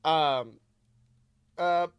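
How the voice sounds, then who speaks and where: A podcast co-host chatting casually and reading fan fiction aloud in an animated, wry way, often self-interrupting. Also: mid-range voice, extremely slow, clear, resonant tone, no room sound, highs cut off.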